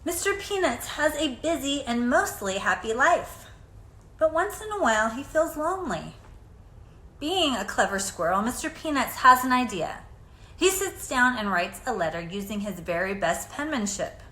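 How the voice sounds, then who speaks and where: A woman reading a children's picture book aloud in an expressive, deliberate storytelling manner. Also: fairly high pitch. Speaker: storybook reader. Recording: echoey room, faint background noise.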